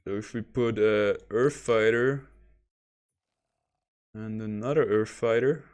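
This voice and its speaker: Soft-spoken man rambling over gameplay. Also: on the low side, slow, clear, resonant tone. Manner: self-described monotone, soft bedroom voice; rambling, chuckles between remarks. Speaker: game streamer. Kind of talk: casual gameplay commentary. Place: home.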